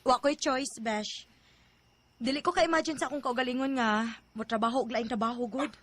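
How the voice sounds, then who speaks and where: A woman's voice: a little high, normal speed, a bit bright, slight background noise, natural voice. An actress playing a heartbroken, affectionate young woman in a radio drama, earnest and plaintive, with a Filipino accent. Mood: resigned, friendly. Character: heartbroken young wedding planner, stubborn but affectionate daughter. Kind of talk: Philippine radio drama acting.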